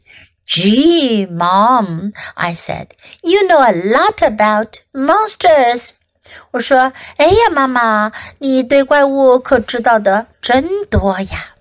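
A woman voicing an excitable young child in a storybook, eager and exclaiming. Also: on the high side, takes it slowly. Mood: curious.

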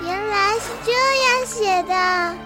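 Very high-voiced innocent little girl. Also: slow pace. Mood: curious. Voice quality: childlike, high. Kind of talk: Chinese costume radio drama. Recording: music behind the voice.

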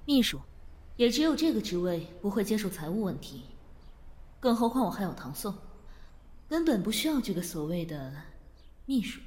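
A woman's voice: mid-range voice, sing-song, moderate pace, pauses often, a little mellow, in a large hall, lightly acted. An actress playing a self-assured female finance director in an audio drama, curt, composed and businesslike. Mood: guarded, irritated. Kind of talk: Chinese audio drama acting.

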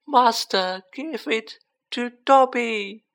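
A storybook reader voicing a small male house-elf servant with a shrill, squeaky voice and a devoted, wide-eyed manner. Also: a bit bright. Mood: awed disbelief. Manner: slow, drawn-out, wide-eyed, speaks of himself in third person.

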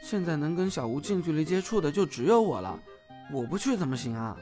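A man's voice: on the high side, sing-song, a little fast, darkish tone, faint music behind. An actor playing a young male beat cop who is cheeky, brash and playful in an audio drama. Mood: lazy, affectionate.

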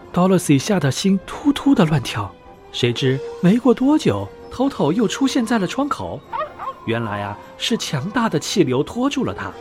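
A man narrating a bedtime fairy tale for young children in an expressive, dramatic storytelling manner. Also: fairly high pitch. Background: background music.

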